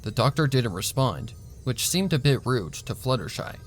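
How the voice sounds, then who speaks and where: A man reading a story aloud as narrator, in a measured storytelling manner. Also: mid-range voice, faint music behind. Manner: measured storytelling, voicing a flat doctor in quotes. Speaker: narrator and reader. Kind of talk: audiobook narration of fan fiction.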